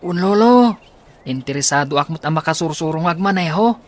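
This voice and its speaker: A man's voice: on the high side, animated, brisk, darkish tone, lightly acted. A young man playing an eager, respectful teenage grandson in a radio drama.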